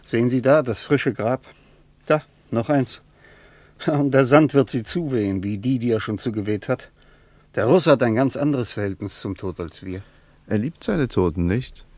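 A man's voice: mid-range voice, normal speed, a little mellow, no room sound, lightly acted. An actor playing an earnest German traveller who points things out as he speaks.

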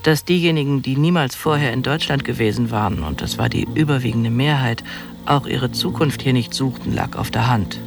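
A man voicing a reflective commentator with a gravelly edge to his mid-range voice. He speaks pointedly, with music underneath. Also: medium pitch, lightly acted. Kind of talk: documentary radio play commentary.

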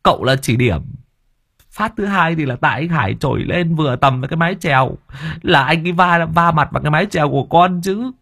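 A clumsy, jittery young helper, put on by a man narrating a ghost story. His voice jumps high and wild, stammering and whining, with a husky grain. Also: fairly quick, full character voice. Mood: whiny. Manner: stammering, whiny, high and excited.

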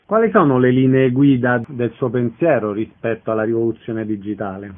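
A man's voice: medium pitch, a little fast. A man putting short, direct questions as a radio interviewer. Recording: noisy, tinny phone-like radio sound.

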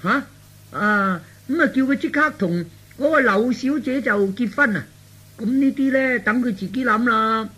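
A man voicing an easygoing, exclamatory elderly father in Cantonese radio storytelling, with a high, thin voice. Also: moderate pace, pushed and bright, husky, exaggerated voice. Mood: nonchalant.

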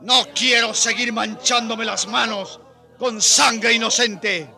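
An actor playing a fervent, repentant priest, declaiming solemnly and with passion in a radio drama. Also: male voice, extremely high pitch, fairly even intonation, takes it slowly, gravelly, pushed and bright, faint music behind, full character voice. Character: fervent repentant priest renouncing his estate. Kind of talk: radio drama acting, preaching.

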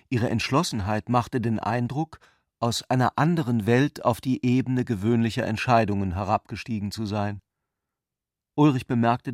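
A man narrating a literary radio play in measured, carefully phrased long sentences. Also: mid-range voice, no room sound, clean studio sound, lightly acted.

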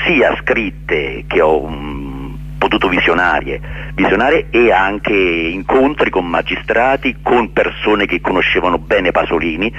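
A man answering radio interview questions earnestly and haltingly, repeating words for emphasis. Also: low voice, fairly bright tone, muffled recording, a little hiss or hum. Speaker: interview guest, actor and director. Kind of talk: radio interview answers, explaining his play.

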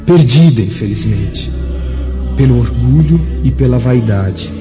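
A man's voice: mid-range voice, animated, a little slow, lightly acted. An actor playing a benevolent, grave angelic emissary in a radio drama, with solemn, lofty, fatherly delivery. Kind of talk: dramatic radio play acting. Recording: muffled, long cavernous reverb, faint music.

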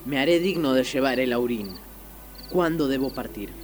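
A man's voice: mid-range voice, normal speed, lightly acted. A proud, earnest boy hunter from a fantasy tribe, played by a man, speaks. His delivery is declamatory radio theatre, over faint music. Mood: resolute. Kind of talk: radio drama acting.

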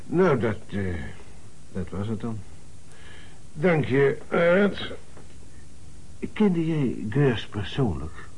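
Probing police commissioner, husky, terse questions, very varied intonation, radio drama. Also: male voice, mid-range voice, big pitch swings, very slow, pauses often, a little mellow, full character voice. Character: probing, matter-of-fact male police commissioner. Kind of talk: Dutch radio play dialogue.

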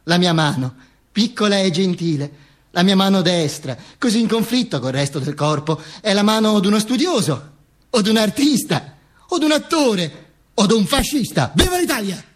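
An actor playing a vain, ranting, self-mocking dead dictator in an emphatic, declamatory theatrical radio monologue. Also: male voice, sits high, animated, normal speed. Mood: vain, boastful. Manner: emphatic, declamatory, with insistent repetitions and interjections. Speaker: stage actor performing own monologue.

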